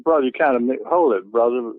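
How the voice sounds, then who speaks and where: A man's voice: medium pitch, fast talker, no room sound. A man arguing over the phone, indignant and repeating himself insistently. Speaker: church deacon receiving a phone call. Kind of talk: heated phone argument. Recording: tinny narrow phone line.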